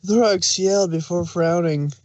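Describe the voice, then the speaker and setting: Higher-voiced man narrating, dry sound. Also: moderate pace, a little mellow, no echo. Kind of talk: scripted storytelling, fan fiction read aloud.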